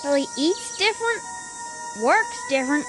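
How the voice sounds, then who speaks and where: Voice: sits high, lively intonation, normal speed, over music. A performer playing an obedient, chatty little farm girl in a radio drama. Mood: chatty, innocent.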